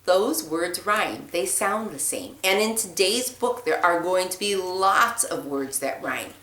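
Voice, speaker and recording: A woman teacher reading a children's picture book aloud, phrasing deliberately and expressively with emphasis on the rhymes. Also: fairly low pitch, a bit bright. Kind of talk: read-aloud storytelling for young children. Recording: echoey room.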